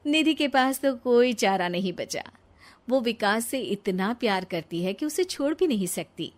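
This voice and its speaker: A woman's voice: fairly high pitch, animated, measured pace. A woman narrating a scripted cartoon moral story as its storyteller.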